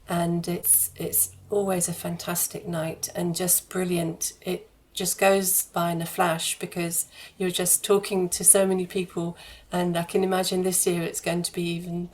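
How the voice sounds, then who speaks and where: A woman's voice: on the low side, flat delivery, a little slow, darkish tone, a little hiss or hum. A woman giving conversational interview answers as a charity guest, phrasing her thoughts in a considered, hesitant way with repeated words.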